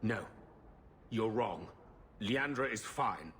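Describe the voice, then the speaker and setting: A man's voice: on the low side, animated, slow pace, fairly bright tone. A man playing an agitated, impatient middle-aged uncle in a video game, fretful and blunt. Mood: anxious denial. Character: agitated, impatient older uncle, anxious for his sister. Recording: faint background ambience noise.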